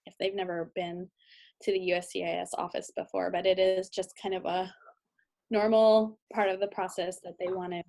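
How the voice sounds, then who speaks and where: A woman with an American accent presenting training slides as a lawyer, instructional and informal, with frequent hesitant pauses and ums. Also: fairly low pitch, level tone, gravelly, darkish tone. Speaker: immigration lawyer giving training.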